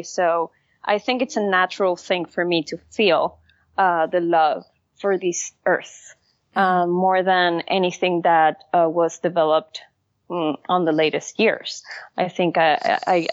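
A woman's voice: fairly low pitch, no room sound, lo-fi sound. A woman from Venezuela with a Venezuelan Spanish accent, answering interview questions as a founder, speaking hesitantly with many fillers and restarts. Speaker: company founder and guest.

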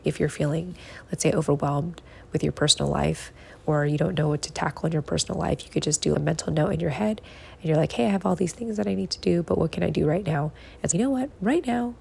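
Quiet, very creaky low-voiced woman podcaster. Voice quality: quiet, hushed early-morning voice. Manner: encouraging, conversational, repeats phrases for emphasis. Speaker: podcast host. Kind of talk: casual solo podcast talk giving productivity tips. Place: living room at home.

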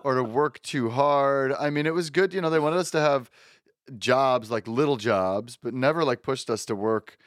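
A man's voice: mid-range voice, moderate pace, dry recording, studio quality. A young man co-hosting a podcast, chatting easily with a wry, laughing humor. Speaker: podcast co-host. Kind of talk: casual chat.

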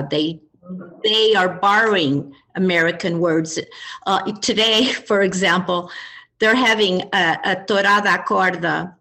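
A woman with a slightly low voice speaks on a panel. She talks in a chatty, anecdotal way. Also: sharp tone. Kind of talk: panel discussion.

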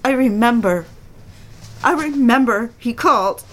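A woman's voice: fairly high pitch, animated, a bit bright. A woman playing a troubled, drunken wife, bitter and suspicious, with a theatrical, mocking manner in an audio drama. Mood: needling, tipsy. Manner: theatrical, mocking, slow and needling.

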